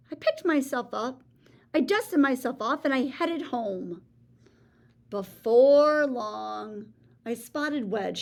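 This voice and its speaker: A woman reads a children's story aloud in a lively, sing-song voice that swoops up and down. She takes her time, letting each line land unhurriedly, and drops into gasps and hushed asides to the listeners. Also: a little high. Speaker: children's book reader.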